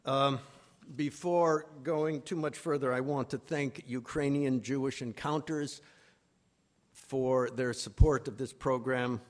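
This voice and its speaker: Man, mid-range voice, formal welcome speech, halting and hesitant, event introducer. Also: medium pitch. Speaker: executive director introducing a program. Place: live event before an audience.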